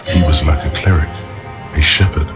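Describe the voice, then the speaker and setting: An actor playing the brooding inner voice of a detective in a video game, narrating in a measured, ominous, gravelly way. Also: male voice, extremely low pitch, steady tone. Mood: observant. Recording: loud music behind the voice. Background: music.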